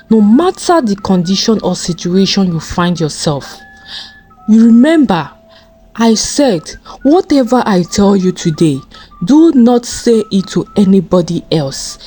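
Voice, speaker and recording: A woman's voice: mid-range voice, mellow, husky. A woman voicing a smug, wealthy male criminal recruiter in a folktale, confident and coaxing.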